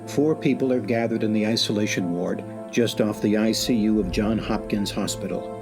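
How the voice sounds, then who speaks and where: Low male voice, actor playing solemn suspense announcer, measured, theatrical, ominous, radio play, prominent music underneath. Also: creaky. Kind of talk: scripted radio play narration.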